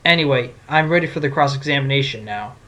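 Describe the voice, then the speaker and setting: A man playing a wry, quick-witted defense lawyer in an amateur audio drama. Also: medium pitch, fairly bright tone, close to an everyday voice. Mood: determined.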